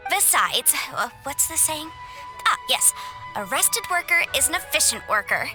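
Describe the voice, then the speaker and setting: A woman's voice: high voice, very animated, normal speed, over music. An actress voicing a playful, self-assured young woman legal advisor in a game cutscene.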